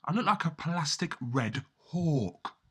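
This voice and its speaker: Bright, forceful man telling a comic story. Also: fairly high pitch, expressive, slow, husky. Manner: performs the dialogue of the characters within the telling. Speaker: storyteller and narrator. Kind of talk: first-person comic monologue, scripted narration.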